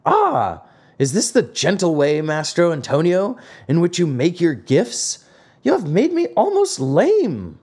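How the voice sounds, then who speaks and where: A man playing a high, fiery little old man. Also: big pitch swings, slow, darkish tone, husky, exaggerated voice. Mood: indignant. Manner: indignant, emphatic, swooping. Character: dapper little old man, hot-tempered, easily offended.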